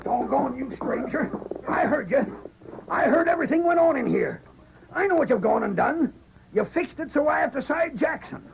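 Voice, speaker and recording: A crusty, indignant rancher with a very high, gravelly voice. His folksy 1940s radio western delivery comes through a muffled, noisy recording. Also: expressive, normal speed, heavily acted. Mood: grudging. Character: stubborn, indignant rancher father of the Old West.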